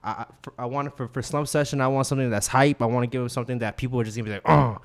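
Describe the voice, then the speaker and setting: A man's voice: mid-range voice, rapid-fire. A man in his twenties from Queens, New York, a rapper chatting casually in a studio interview and acting out what he said to others. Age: 20s. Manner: animated, acts out quotes, restarts often. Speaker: rapper guest.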